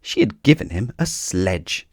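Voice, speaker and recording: A man reading a children's story aloud in an expressive narrating style. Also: fairly low pitch, a little slow, no room sound, studio quality.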